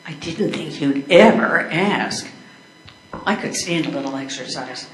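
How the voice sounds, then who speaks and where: An older woman reads a teasing wife in a stage play. She speaks slowly with swinging, lively intonation. The room sounds echoey. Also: low voice. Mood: wry. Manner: dry, teasing. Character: wry, long-suffering wife on holiday. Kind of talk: amateur readers theater.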